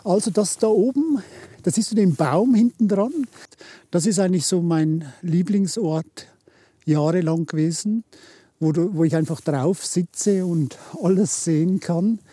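A man in his fifties from England, Uganda and Munich, talking casually and haltingly as a podcast guest while walking outdoors. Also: high-pitched, dark tone, breathy edge, no echo. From born in England, raised in Uganda and Munich. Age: 50s. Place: outdoors on a hike in the Swiss mountains.

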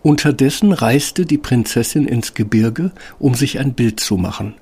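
A man's voice: medium pitch, animated, normal speed, a little mellow, husky. A man narrating a scripted fairy tale as the storyteller of an audio play. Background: instrumental music.

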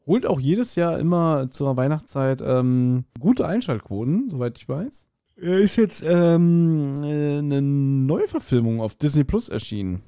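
Mellow-voiced man chatting casually, dry close sound. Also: fairly high pitch, lively intonation, unhurried, clean tone, no echo. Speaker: podcast co-host. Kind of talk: casual chat.

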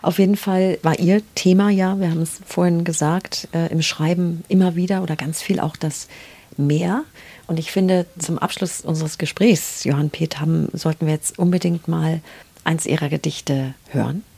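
A woman's voice: low voice, steady tone, normal speed, gravelly, mellow, slightly rough. A woman hosting a conversational literary interview. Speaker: interviewer and host.

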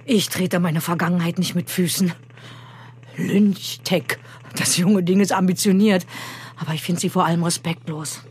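An actress playing a defiant, old-fashioned clerk who is easily offended, with a dry, dramatic delivery in a comic audio drama. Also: female voice, fairly low pitch, fairly even intonation, moderate pace, a little mellow, slightly rough.

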